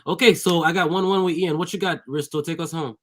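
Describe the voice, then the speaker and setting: A man's voice: on the high side, steady tone, fast. A Haitian-born man whose first language is French, co-hosting a podcast in casual chat, laughing often and agreeing readily. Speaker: podcast co-host.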